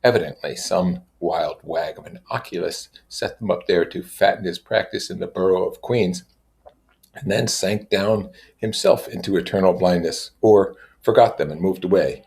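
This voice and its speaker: A man with a very deep voice and plenty of fry reads literature aloud. His delivery is measured for the text and informal when he comments on it. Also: darkish tone. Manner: measured reading with informal asides. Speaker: reader and commentator. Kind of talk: literary read-aloud with casual explanatory comments.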